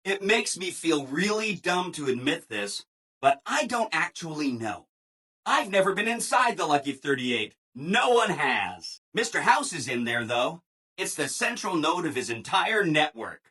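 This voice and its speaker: An actor playing a relentlessly cheerful, eager-to-please robot assistant in a video game, chirpy and over-eager, with exaggerated emphasis. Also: male voice, on the high side, sing-song, a little slow, bright, forceful tone, slightly rough, full character voice. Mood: chipper, explaining. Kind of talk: animated video game character dialogue.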